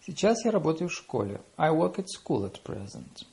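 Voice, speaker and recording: Gravelly, lively man reading lesson phrases. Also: a little high. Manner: slow, deliberate English after brisk Russian. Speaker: language teacher.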